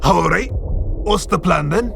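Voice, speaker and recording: A man playing a lively, friendly creature sidekick in a fantasy audio drama, with a gravelly, colloquial voice. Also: high voice, slow pace, over music, full character voice. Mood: curious. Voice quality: gravelly, creaky. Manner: chummy, colloquial, animated.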